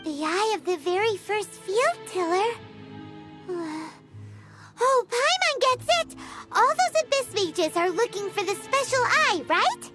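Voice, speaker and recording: A woman voicing a tiny, excitable, childlike female fairy companion in a video game, squeaky and full of curious exclamations. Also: measured pace, heavily acted. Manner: exclamatory, inquisitive, refers to self in third person. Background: game music.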